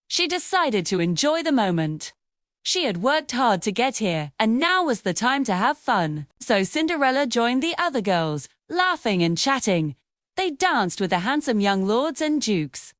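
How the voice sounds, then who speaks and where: A woman narrating a fairy tale for children in a scripted, expressive, sing-song storytelling manner. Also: on the high side, no echo, clean studio sound.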